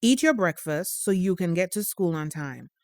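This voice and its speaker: A woman's voice: fairly low pitch, lively intonation, moderate pace, dry recording, clean studio sound. A woman playing a firm, protective mother of a teenage boy, pointed and motherly, in a naturalistic audio drama. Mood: stern, worried.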